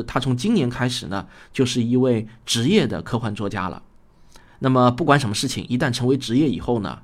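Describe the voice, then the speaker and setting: Conversational male host, mid-pitched. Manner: conversational, addresses listeners directly. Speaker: host and audio drama director. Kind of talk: spoken programme introduction.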